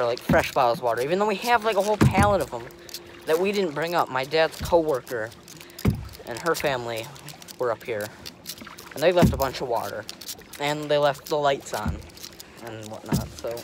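A young American man rambling casually to camera in an offhand, easygoing way, recorded outdoors on a phone. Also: mid-range voice. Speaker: vlogger. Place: outdoors on a lake in a kayak and on shore. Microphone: phone held or propped close. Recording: noisy outdoor wind and water noise.

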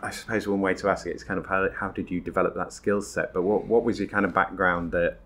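A man with a low voice hosts a casual interview podcast. He hesitates and searches for words, circling around his questions. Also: flat delivery, fast, a bit bright, slight background noise. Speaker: podcast host.

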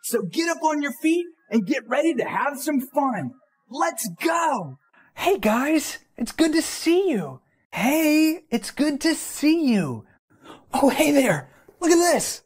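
An excited man in his thirties presenting a children's show with energetic, exclamatory delivery. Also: very high voice, slow.

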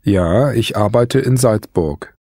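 A man reads scripted answers slowly and carefully. His voice is low, and its pitch rises and falls a lot. The sound is dry and close. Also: lively intonation, mellow, no room sound. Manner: careful, deliberate pronunciation. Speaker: course speaker voicing dialogue answers. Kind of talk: slow scripted dialogue reading.

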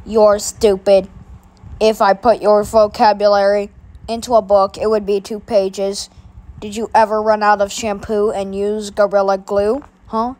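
A woman's voice: medium pitch, flat delivery, unhurried, a little mellow, voice pushed down, natural voice. A woman voicing a sneering bully boy who hurls mocking insults and laughs at a child. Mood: taunting. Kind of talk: homemade cartoon skit voice.

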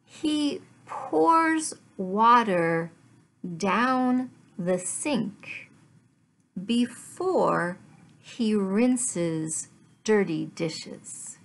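Very slow-speaking woman teacher with creaky voice. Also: medium pitch, expressive. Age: middle-aged. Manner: deliberate, enunciating word by word in short phrases with pauses. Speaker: English teacher. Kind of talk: slow language lesson for learners. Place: small living room at home, indoors.

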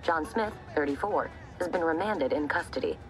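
A composed American newsreader with a lowish voice. She delivers a scripted bulletin in formal, measured phrases, with music clearly behind her. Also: animated. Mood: businesslike. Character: composed female television news anchor. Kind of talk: scripted news bulletin in a video game.

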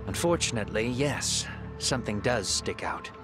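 An actor playing a grave, calculating middle-aged man in a video game drama, speaking in a measured, deliberate way. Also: on the low side, expressive, music in the background, lightly acted. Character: composed middle-aged male fugitive avenger, calculating and grave.

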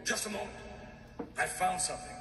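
An actor playing an earnest, alarmed cop in a horror video game, with stilted, overemphatic line readings. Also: male voice, high-pitched, fairly quick, recorded from a distance. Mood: grave. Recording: roomy 1990s game audio over music.

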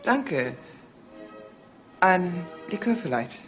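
A woman's voice: fairly low pitch, big pitch swings, extremely slow, full character voice. Sensible dry-witted woman, polite, radio drama, music in background. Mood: polite, hesitant. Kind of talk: German radio drama acting. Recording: music behind the voice.

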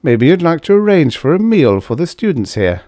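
A man voicing a calm, wry male diplomat with an understated, dry manner. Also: on the high side, normal speed, no room sound, voice pushed up.

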